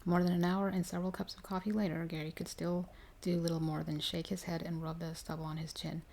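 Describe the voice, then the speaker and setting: A woman reading a story aloud in ASMR style, soft-spoken and drifting into whispers. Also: on the low side, flat delivery, fast, creaky, a little hiss or hum. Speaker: reader of paranormal stories for ASMR.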